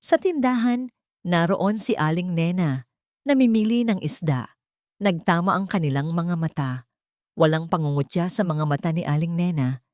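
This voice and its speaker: A woman reading a dramatic first-person story as an audiobook narrator, measured and dramatic. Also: lowish voice, animated, a little mellow, no room sound, clean studio sound.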